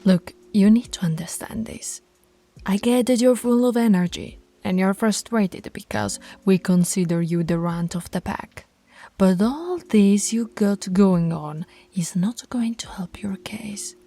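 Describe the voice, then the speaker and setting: Woman acting a slow, husky alpha she-werewolf. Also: mid-range voice, dark tone. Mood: firm, caring. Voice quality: breathy, husky. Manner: slow, deliberate, commanding. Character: dominant female alpha werewolf, stern yet teasingly affectionate. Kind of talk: intimate ASMR roleplay voice acting.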